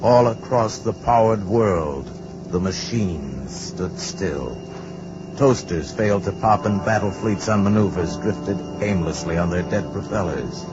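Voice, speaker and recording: Dull vintage broadcast sound carries a low-voiced American man narrating over clear music. His delivery is solemn and portentous, in the dramatic style of old radio. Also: a little slow.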